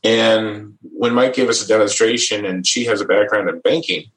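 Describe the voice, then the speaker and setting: A man's voice: lowish voice, steady tone, moderate pace, fairly bright tone, reverberant, rough recording. A middle-aged man from the American Midwest telling his story in a casual interview, easygoing and chuckling often, restarting his phrases as he goes. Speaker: guest.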